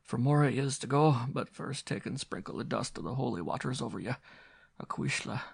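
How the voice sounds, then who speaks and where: A man's voice: medium pitch, a little fast, gravelly, slightly rough, voice pushed up. A man voicing a frantic Irish widow mother. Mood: grieving, pleading. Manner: wailing, pleading, distraught.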